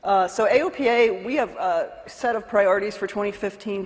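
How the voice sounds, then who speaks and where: A woman speaking as a conference panelist, giving an organizational update in a slightly hoarse voice. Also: fairly low pitch. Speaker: panelist, aviation association executive. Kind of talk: prepared remarks on a conference panel. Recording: echoey hall with long reverb.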